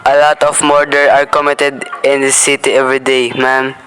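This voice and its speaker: A student playing a brusque police desk officer. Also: male voice, medium pitch, completely flat delivery, moderate pace, a bit bright, slight background noise, natural voice, low-quality recording. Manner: brusque, patronizing.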